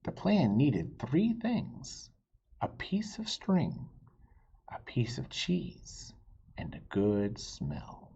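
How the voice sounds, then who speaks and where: A man reading a children's picture book aloud in a teacherly way, stopping to ask his young listeners questions, in a husky voice. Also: medium pitch, huge pitch swings, drawn-out words, mellow, dull audio. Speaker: read-aloud storyteller.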